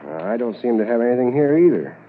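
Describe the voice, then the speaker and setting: An actor playing a dutiful, practical detective corporal in a 1950s radio drama, thoughtful and matter-of-fact. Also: male voice, mid-range voice, very animated, fast, close to an everyday voice. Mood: businesslike. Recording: noisy, narrow old radio recording.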